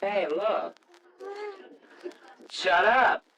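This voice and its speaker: A man acting an excitable, doting, nervous new father in a 1940s-style radio drama, boyish and blustering. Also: high-pitched, very slow, full character voice. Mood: doting, playful. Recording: noisy old radio drama recording.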